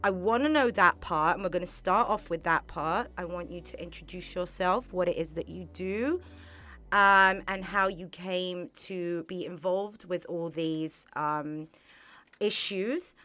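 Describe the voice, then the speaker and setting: A woman's voice: on the low side, unhurried, sharp tone. A woman hosting a podcast interview in a studio, chatty and bubbly, laughing often. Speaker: podcast host. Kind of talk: casual interview. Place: borrowed studio. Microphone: studio microphone.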